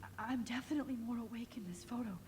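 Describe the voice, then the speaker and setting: An actress playing a determined young woman held captive in a video game, speaking in a hushed, urgent way. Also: a little high, very fast, a little hiss or hum, natural voice. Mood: resolute. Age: young adult.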